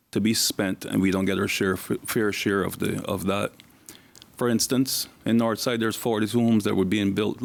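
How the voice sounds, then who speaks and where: A hoarse man giving a blunt, plain-spoken campaign speech at a candidates' forum. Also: fairly low pitch. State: hoarse from flu. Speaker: election candidate.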